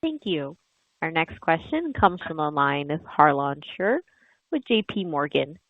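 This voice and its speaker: A woman's voice: low voice, very animated, unhurried, dry recording. A woman working as a conference call operator, making scripted announcements to introduce each caller. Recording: dry, narrow phone line.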